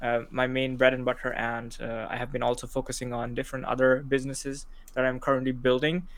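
Young man from Pakistan, guest, halting delivery with fillers, flat intonation, clean dry sound. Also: medium pitch, dry recording. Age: 20s. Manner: hesitant, many fillers and restarts. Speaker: podcast guest. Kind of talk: casual interview chat.

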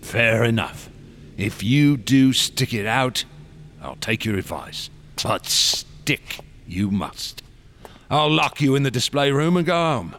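An actor in a radio drama playing a paranoid, menacing wax sculptor, with a gravelly voice and a sneering, theatrical, taunting delivery. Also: male voice, medium pitch, lively intonation, slow, fairly bright tone, slight background noise. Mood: sly, menacing.

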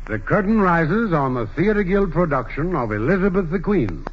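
A man's voice: a little high, big pitch swings, normal speed, highs cut off. A man working as a radio announcer, making formal scripted introductions in 1940s broadcast style.

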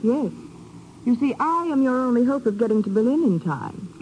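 A woman's voice: fairly high pitch, lively intonation, normal speed, darkish tone, lightly acted, low-quality recording. A woman playing a cool, teasing, self-assured reporter in a 1950s radio drama. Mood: sly, teasing. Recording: old radio broadcast, faint noise.

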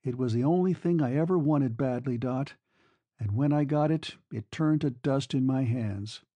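A man's voice: mid-range voice, normal speed, warm tone, no echo, natural voice. A man playing a disillusioned young soldier in an audiobook story, a weary and sentimental lover who speaks in a brooding, wistful way.

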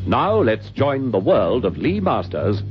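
A man as a radio announcer reading a formal, deliberate, dramatic scripted introduction in a 1960s broadcast style. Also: medium pitch, big pitch swings. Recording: dull old radio broadcast recording. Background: loud music with guitar.

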